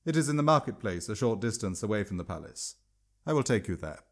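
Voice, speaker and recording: A man voicing a stiff, courteous palace servant in a storybook reading, with formal, measured manner. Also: lowish voice, sing-song. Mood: evasive.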